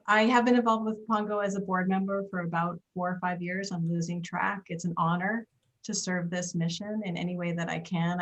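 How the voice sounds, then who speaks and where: Slightly low, even-toned woman giving remarks. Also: muffled recording. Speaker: board member giving a speech. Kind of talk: informal thank-you speech. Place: indoors, remote video call. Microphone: video call.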